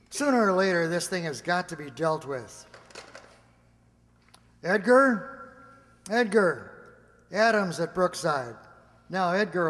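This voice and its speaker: A man acts an earnest, flustered male lab official in a radio drama. His voice sits high and he speaks slowly, stammering and doubling back on his words. It rings with long hall reverb. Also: a bit bright. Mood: uneasy. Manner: stammering, hesitant, repeats himself. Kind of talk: amateur radio drama acting.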